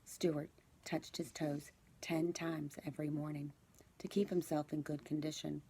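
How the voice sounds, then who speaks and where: Female storybook reader, teacher type: lower-pitched, mellow tone with some gravelly creak, expressive delivery. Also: unhurried, a little hiss or hum. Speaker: second-grade teacher reading aloud. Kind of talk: children's storybook narration.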